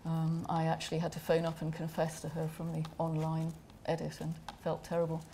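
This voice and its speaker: Low gravelly woman on a gallery panel, lots of ums, faint hiss. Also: completely flat delivery, brisk, warm tone. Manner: hesitant, many fillers and restarts. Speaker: film director. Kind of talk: panel discussion answer. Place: large gallery room.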